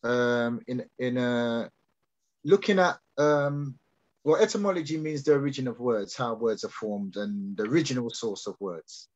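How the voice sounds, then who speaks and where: A man's voice: mid-range voice, unhurried, dull audio. A man explaining his ideas on a video call, hesitant with many restarts and fillers. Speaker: guest speaker.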